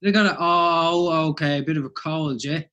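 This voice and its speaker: A man's voice: on the high side, moderate pace, bright, forceful tone, muffled recording, a little hiss or hum. A young adult man co-hosting a podcast, speaking reflectively while he has a mild cold. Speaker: podcast co-host. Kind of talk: casual chat.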